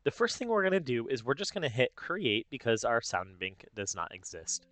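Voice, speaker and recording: A man narrating a casual step-by-step software tutorial, explaining informally and thinking aloud as he goes. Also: medium pitch, sing-song, normal speed, no room sound. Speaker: tutorial host.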